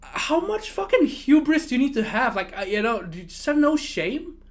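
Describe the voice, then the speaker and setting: A man's voice: very high voice, big pitch swings, moderate pace. A man whose first language is not English, chatting casually on a livestream, ranting animatedly and swearing freely.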